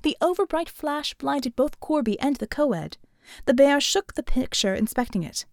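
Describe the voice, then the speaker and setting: Woman, audiobook narrator, animated intonation, measured storytelling, dry studio sound. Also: high voice, gravelly, no echo. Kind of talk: scripted fiction narration.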